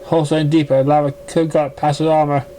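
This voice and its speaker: A man voicing an earnest, concerned young pony in a halting manner. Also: fairly high pitch, little pitch movement, dark tone, a little hiss or hum, close to an everyday voice.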